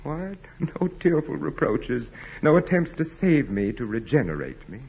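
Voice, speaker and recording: An actor in 1940s radio style playing an arrogant, tormented aristocratic husband who speaks formally and with sardonic coldness. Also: male voice, fairly high pitch, sing-song, measured pace, creaky, darkish tone, slightly rough, exaggerated voice. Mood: bitter, tormented. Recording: muffled vintage 1940s radio recording.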